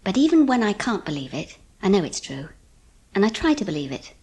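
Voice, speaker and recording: A woman playing a gentle, loving Edwardian mother, brave but wistful, in a dramatised audiobook. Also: medium pitch, very animated, a little fast, husky. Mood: wistful, encouraging. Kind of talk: dramatised audiobook narration and dialogue.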